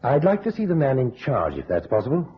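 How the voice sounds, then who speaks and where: An actor playing a businesslike, concerned agency boss in an old radio detective drama, direct and politely insistent. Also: male voice, medium pitch, huge pitch swings, brisk, slight background noise. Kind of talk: golden-age radio detective acting. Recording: muffled, rough old radio recording.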